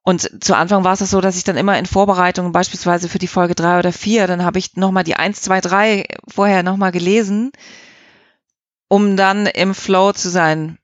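A woman's voice: lowish voice, fairly even intonation, moderate pace, no echo, highs cut off. Emphatic woman in a dry-sounding interview. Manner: emphatic, self-described emotional type. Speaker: editor, interview guest. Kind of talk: conversational interview answers.

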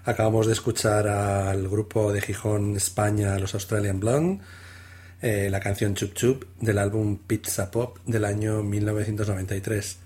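A man with a Spain Spanish accent co-hosting a podcast in casual chat, relaxed and halting with fillers. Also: lowish voice. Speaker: podcast co-host.

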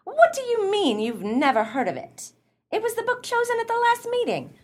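A woman acting in a radio play as a stern, pedantic club lady with a sharp-tongued, critical manner. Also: very high voice, wildly swooping pitch, normal speed, heavily acted. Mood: indignant.

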